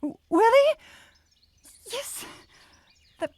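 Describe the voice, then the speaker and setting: A woman's voice: very high-pitched, sing-song, very slow, darkish tone, no echo, pitched above the performer's own voice, heavily acted. A woman voicing a sweet, clumsy forest witch in a fantasy ASMR roleplay, stammering and hesitant as she talks. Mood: nervous, hopeful. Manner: stammering, hesitant, breaks off and repeats words.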